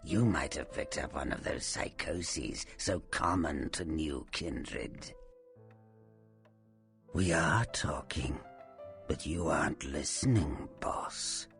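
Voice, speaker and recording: A man's voice: extremely low pitch, slow pace, a bit bright, faint music behind, full character voice. A man playing a sly, taunting Nosferatu vampire and faded film star in a video game, theatrical and teasing, often dropping into a menacing whisper. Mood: sinister teasing. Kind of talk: video game character acting.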